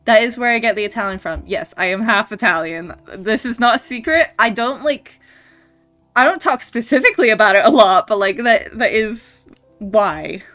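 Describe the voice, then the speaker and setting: A woman's voice: on the high side, moderate pace, fairly bright tone. A young woman with a mixed, mostly Canadian-sounding accent, chatting casually on a stream with lots of ums, restarts and laughs. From Scotland. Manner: rambling, many ums and restarts, laughs often. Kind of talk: casual chat while drawing on stream. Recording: faint music behind.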